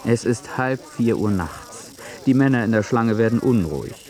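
A man's voice: medium pitch, animated, moderate pace, darkish tone, natural voice. A man narrating a scripted radio drama.

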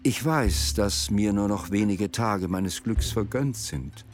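A man's voice: fairly low pitch, expressive, takes it slowly, soft background music. A man voicing a pleading, proud fox woman as a storyteller in a radio play, earnest in delivery.

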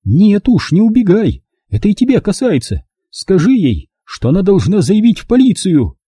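Man putting on a high-pitched, distraught, bitter mother. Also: sing-song, normal speed, mellow, slightly rough, no room sound, studio quality, exaggerated voice. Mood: insistent, commanding. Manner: emphatic, reproachful, pressing with rhetorical questions. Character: distraught middle-aged mother, bitter, pleading, insistent. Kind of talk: audiobook character dialogue.

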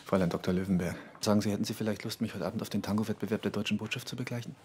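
An actor playing an awkward, smitten German engineer who stammers and hurries in a radio drama. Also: male voice, lowish voice, steady tone, very fast, a little mellow, slight background noise, lightly acted. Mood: flustered.

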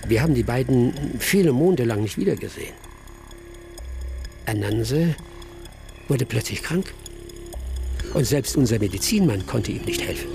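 An actor playing a genial older village storyteller in a radio drama, savoring his tale in an unhurried oral manner. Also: mid-range voice, lively intonation, a little mellow. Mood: absorbed storytelling. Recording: clear music behind the voice.

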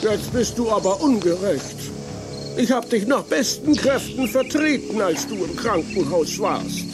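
A man's voice: high-pitched, expressive, a little slow, over music. A man playing a timid, whiny cemetery assistant, hesitant and stammering, in a children's radio play.

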